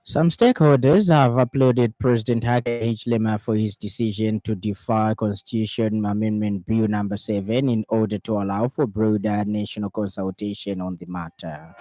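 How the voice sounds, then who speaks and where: Low, mellow Zambian male newsreader. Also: fairly even intonation, faint music behind. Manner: measured, formal newsreader delivery. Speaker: radio news anchor. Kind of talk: formal scripted news bulletin reading.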